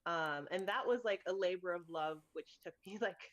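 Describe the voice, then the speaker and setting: A woman's voice: on the low side, normal speed, muffled recording. A woman from Thailand, a game designer, chatting casually in an interview, full of "like" and laughter.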